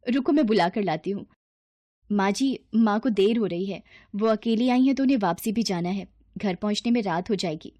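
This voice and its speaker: A woman voicing a gentle young daughter-in-law in a moral story, polite and earnest in manner. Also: mid-range voice, moderate pace, no echo, lightly acted. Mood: anxious, eager.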